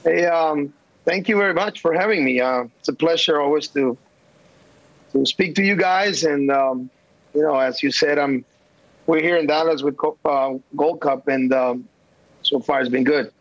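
A middle-aged man from El Salvador, a national team coach, answering interview questions haltingly with many fillers and restarts. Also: on the high side, dry recording. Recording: dull, dry remote line.

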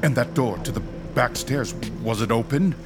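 A man's voice: medium pitch, normal speed, lightly acted. A man playing a dignified, pedantic doctor leading ghost hunters in a radio drama, speaking formally and precisely. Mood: calm, commanding. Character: dignified, pedantic male ghost-hunting doctor and team leader. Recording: music behind the voice.

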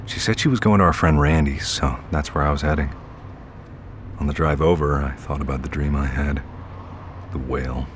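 A weary, troubled man in an audio drama, with a very deep voice. He speaks tersely and bluntly, plain-spoken, with noise and ambience behind him. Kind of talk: first-person audio drama narration and dialogue.

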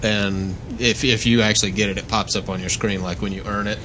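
Low-voiced man explaining casually. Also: fairly even intonation, fairly quick. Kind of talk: casual explanation in group chat. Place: live podcast recording at a convention. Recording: faint rumbling live event noise.

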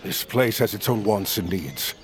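A man playing a calm rebel chief in a fantasy audio drama, deliberate and courteous with menace underneath. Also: on the low side, close to an everyday voice. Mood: calm, ominous.

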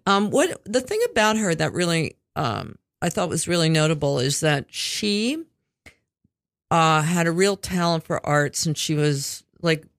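A woman's voice: low voice, no room sound, clean studio sound. Chatty rambling woman radio host. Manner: rambling, chatty, swears casually. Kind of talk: casual radio interview. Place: community radio studio.

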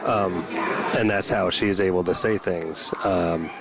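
A man speaks as a parent guest in a casual conversation. His voice is low with heavy creak, and he explains things in plain, everyday phrases. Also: steady tone, takes it slowly, noisy background.

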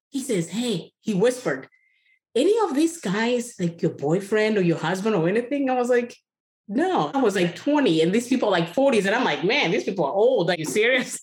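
A woman in her thirties telling a story in a casual, animated way, often laughing. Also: fairly high pitch, echoey room. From Kenya. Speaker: guest.

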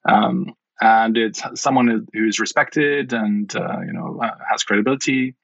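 A middle-aged man from Sweden with a Swedish accent, answering interview questions with many pauses and restarts. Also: on the low side, gravelly, a bit bright, no echo, muffled recording. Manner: hesitant, many fillers and restarts. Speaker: wine guide founder. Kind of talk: podcast interview.

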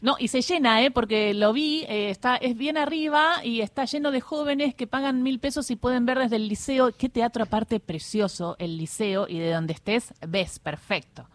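A woman's voice: on the high side, normal speed, hardly any pauses, no room sound. A woman radio host, interviewing a guest with enthusiasm.

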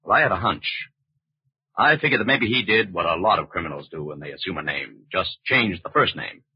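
A methodical federal agent in a vintage radio drama. His low, very husky voice moves expressively while staying measured and authoritative. The sound is dull. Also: expressive, no room sound, exaggerated voice. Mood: calm, firm. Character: calm, methodical male FBI agent. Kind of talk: old-time radio crime drama acting. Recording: dry, muffled old radio drama recording.

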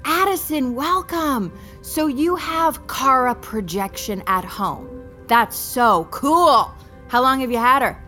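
A woman's voice: high voice, expressive, slow pace, sharp tone, exaggerated voice. A woman playing a peppy, eager corporate tour guide in an audio drama, gushing and coaxing a group of school kids. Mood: eager, friendly. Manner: gushing, coaxing, exaggerated enthusiasm. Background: music.

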